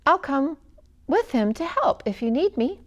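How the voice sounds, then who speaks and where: A storyteller woman plays an earnest young woman from a storybook. Her voice is lifted high and emphatic, reluctant yet keen to help. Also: big pitch swings, unhurried. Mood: eager to help. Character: earnest young American missionary's daughter, reluctant but helpful. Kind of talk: storybook character reading.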